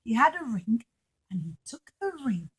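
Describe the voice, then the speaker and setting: A woman's voice: on the high side, very animated, normal speed, slightly rough. A woman telling a story to young children as a puppet show, with simple phrasing and dramatic emphasis, addressing them directly.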